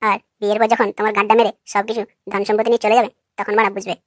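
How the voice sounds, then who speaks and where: Cartoon young rascal, male, voiced by a woman: scheming, foul-mouthed, extremely quick delivery, mid pitch. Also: flat delivery, no echo, studio quality, close to an everyday voice. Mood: dismissive. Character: brash, lewd, scheming young male prankster. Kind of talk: comic cartoon dialogue.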